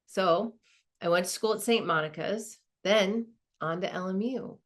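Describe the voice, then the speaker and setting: A woman's voice: mid-range voice, a little slow, natural voice. A woman playing a reflective, wry lawyer in a conversational stage monologue about her own life. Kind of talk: dramatized first-person monologue, conversational.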